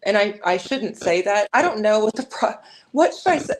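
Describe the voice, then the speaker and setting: Lady chatting casually, average pitch, kinda hesitant, circles back on phrases. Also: expressive, moderate pace, a little hiss or hum, low-quality recording. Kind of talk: casual chat.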